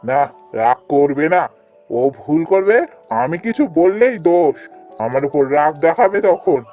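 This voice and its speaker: A man's voice: on the high side, expressive, measured pace, mellow, muffled recording, faint music behind. A man voicing a stubborn, grumbling young village husband and elder brother in a cartoon fairy tale, scolding and complaining. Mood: annoyed.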